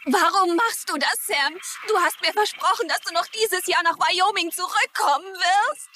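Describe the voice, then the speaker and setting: A woman's voice: very high voice, moderate pace, bright, forceful tone, slight background noise. A woman playing a hurt, earnest jilted fiancée, pleading in a TV drama. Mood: hurt, insistent. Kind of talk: dubbed TV drama dialogue in German.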